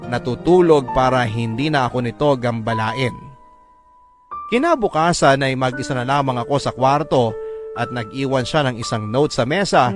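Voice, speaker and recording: A man's voice: medium pitch, lively intonation, moderate pace. A man narrating a scripted first-person love story as a radio drama storyteller. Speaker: storyteller host reading a listener's story. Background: background music.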